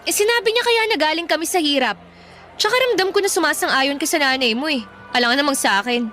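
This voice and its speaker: High-pitched, defensive young woman. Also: quick pace, sharp tone, faint music behind. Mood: defensive, indignant. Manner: indignant, sarcastic, colloquial. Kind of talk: Tagalog radio drama acting.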